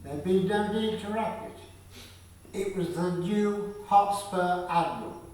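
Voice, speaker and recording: Slow male narrator in echoing space. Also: sits high, darkish tone, slight background noise. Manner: measured, deliberate storytelling. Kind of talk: scripted radio play narration. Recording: very echoey, distant from the mic.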